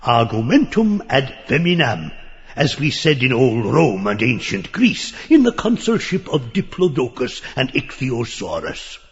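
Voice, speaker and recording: A man playing a grotesque, parrot-like old pedant, leering and excitable, with a nasal croak and a pompous lecturing manner in a theatrical audiobook play. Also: medium pitch, measured pace, long reverb tail, muffled recording. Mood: sly, mocking. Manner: pompous learned lecturing, full of crowing outbursts. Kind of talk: theatrical audiobook acting of a surreal stage play.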